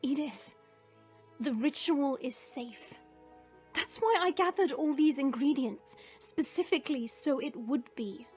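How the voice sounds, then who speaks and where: Woman playing a high-voiced, formal dragon princess. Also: unhurried, faint music behind. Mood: solemn, reassuring. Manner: formal, stately phrasing. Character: powerful fire-wielding dragon princess, formal, proud, protective. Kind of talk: audio drama acting.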